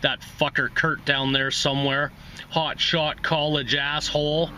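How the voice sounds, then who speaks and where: A sullen, resentful young man in a short story , played by a male reader, bitter and sarcastic. His voice is bright and forceful, on a slightly noisy recording. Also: a little high, flat delivery, unhurried.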